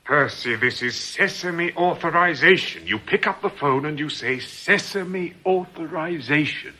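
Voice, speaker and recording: A stern robber in a radio play, with a forceful, slightly high male voice. He gives orders tersely and with cool menace. The old recording has faint noise. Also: lively intonation, measured pace. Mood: impatient. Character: stern commanding male robber, controlled and impatient. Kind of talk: radio drama acting.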